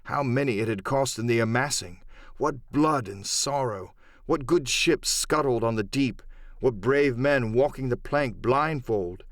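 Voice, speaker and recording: A man narrating a classic adventure audiobook in an expressive, dramatic storytelling manner. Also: medium pitch, measured pace, dry recording, studio quality. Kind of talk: dramatic scripted literary narration.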